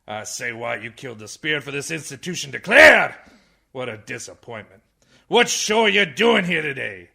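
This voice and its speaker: An actor playing a blind, tired old man, a blustering, indignant orator with a raspy voice in a radio comedy. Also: medium pitch, big pitch swings, normal speed, heavily acted. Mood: blustering outrage. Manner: theatrical, ranting, swings from muttering to shouting. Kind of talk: radio comedy play parodying a film speech.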